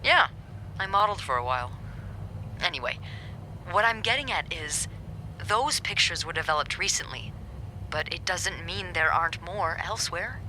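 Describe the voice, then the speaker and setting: A woman's voice: fairly low pitch, animated, normal speed, long pauses, sharp tone, a little hiss or hum, low-quality recording. A woman playing a sly, teasing, self-assured hotel receptionist in a video game, with a wry, confident delivery. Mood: wry, persuasive.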